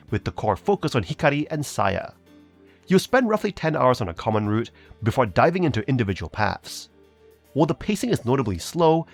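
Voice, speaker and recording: A man hosting a review video, reading a scripted narration in a polished, measured presenter's delivery. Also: medium pitch.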